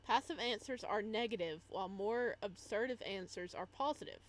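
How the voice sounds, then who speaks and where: A woman's voice: mid-range voice, normal speed. A woman live-streaming a game in a casual style, reading on-screen text aloud and adding chatty asides.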